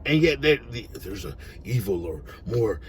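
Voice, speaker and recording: Male American online commentator, animated and exhorting, casual slangy monologue with faint noise behind the voice. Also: on the low side, moderate pace. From United States. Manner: emphatic, exhorting, repeats phrases for effect. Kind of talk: opinionated informal monologue.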